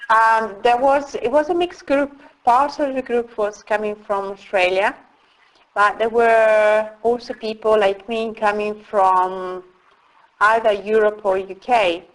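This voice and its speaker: A middle-aged woman from Italy, telling her story as an interview guest in a slow, reflective way with frequent pauses. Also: medium pitch, steady tone, poor audio. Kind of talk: casual interview.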